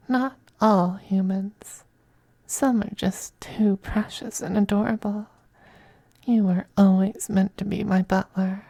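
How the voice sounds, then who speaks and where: A performer voicing a possessive, androgynous male vampire master in an ASMR roleplay, soft, breathy and often whispering, coaxing and condescending. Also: medium pitch, no echo. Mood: doting, possessive. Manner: slow, purring, coaxing, condescending. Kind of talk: ASMR roleplay, intimate scripted monologue.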